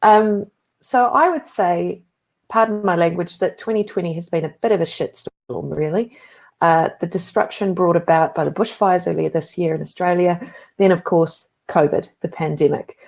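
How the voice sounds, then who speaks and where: A woman's voice: lowish voice, moderate pace, creaky, a little mellow. A woman with a New Zealand accent giving a conference talk, telling stories in a conversational way with frequent asides. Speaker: conference speaker.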